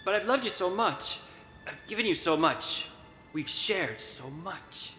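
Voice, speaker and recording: An actor playing a tormented, guilt-ridden priest, pleading and lovesick, in dramatic film style. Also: male voice, high voice, expressive, unhurried, sharp tone, exaggerated voice. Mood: anguished pleading. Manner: pleading, anguished. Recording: echoey hall reverb, music behind.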